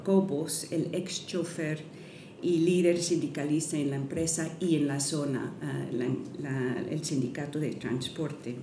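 A middle-aged woman, not a native speaker, reads an academic lecture from a podium, pausing and restarting often at an unhurried pace. Her voice sits low and mellow. The sound rings through a roomy hall, picked up from some distance with a faint murmur behind. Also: a little hiss or hum. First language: not Spanish. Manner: careful, halting, with frequent restarts and fillers. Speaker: guest lecturer. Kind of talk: academic lecture, partly read aloud. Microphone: podium microphone. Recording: echoey hall, mic from a distance.

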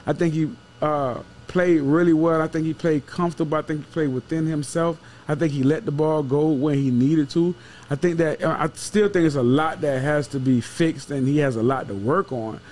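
A man with a slightly high voice gives casual sports analysis into a podcast mic. He talks emphatically, circles back to repeat his points and swears freely. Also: moderate pace, darkish tone. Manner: emphatic, repeats himself, profane. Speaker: sports show co-host and analyst. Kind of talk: casual football postgame chat. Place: small wood-paneled studio room. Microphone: podcast microphone with headset.

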